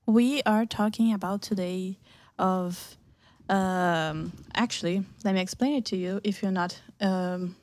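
A woman's voice: medium pitch, unhurried, a little mellow. A young woman from Brazil, a native Portuguese speaker, hosting a casual faith-based radio talk show and explaining things carefully.

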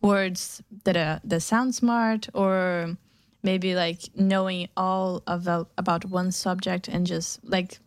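A woman's voice: on the low side, steady tone, slow pace, clean tone, no room sound. Casual woman host, dry close sound. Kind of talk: casual conversational hosting.

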